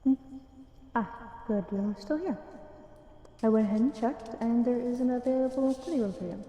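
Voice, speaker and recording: A woman voicing a courteous, eerie fae librarian in an intimate audio roleplay, softly musing and hesitant, with an echo effect on the voice. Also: fairly high pitch, moderate pace. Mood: helpful, amused. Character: gentle, courteous, eerie fae librarian, ancient otherworldly being. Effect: echo added to voice. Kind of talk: audio roleplay, intimate one-sided dialogue to listener. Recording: echoing voice with long reverb.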